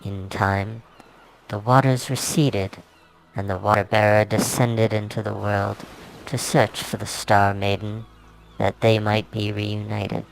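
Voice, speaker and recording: A man reading his own fantasy novel aloud as a dramatic, solemn, measured narration. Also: fairly low pitch, level tone, creaky, a little hiss or hum, rough recording. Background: music soundtrack.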